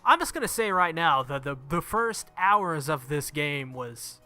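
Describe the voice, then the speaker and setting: A man's voice: fairly high pitch, big pitch swings, a little slow, bright, forceful tone. A man who makes gaming videos, reading visual novel text aloud and commenting casually on the game. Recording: music behind the voice. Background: game music.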